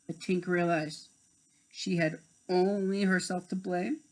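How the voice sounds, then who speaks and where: A woman narrating a scripted children's story deliberately, with dramatic pauses. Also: fairly low pitch, fairly even intonation, rough recording. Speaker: storybook reader.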